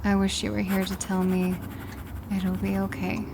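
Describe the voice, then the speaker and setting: A woman playing a grieving, fragile adult writing to a lost love in an intimate audio drama, halting and sighing, sometimes slipping into whispers. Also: mid-range voice, completely flat delivery, gravelly, background noise, lightly acted. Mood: wistful, tender.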